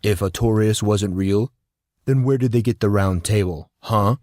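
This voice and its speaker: A man's voice: fairly low pitch, moderate pace, a little mellow, dry recording, clean studio sound, natural voice. A man voicing an earnest, melancholy teenage boy who speaks with solemn conviction in an audiobook. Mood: total conviction.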